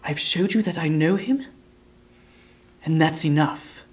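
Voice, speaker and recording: A curt, hard-nosed woman cuts in bluntly and impatiently, as a man puts her on. She talks slowly and firmly, with a slightly raised voice. It is storybook character acting. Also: on the high side, natural voice.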